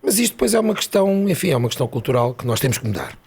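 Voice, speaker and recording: A man from Setúbal with a European Portuguese accent talks as a podcast guest, making his points in animated, emphatic bursts. He speaks quickly in a slightly husky voice. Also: medium pitch. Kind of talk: casual chat.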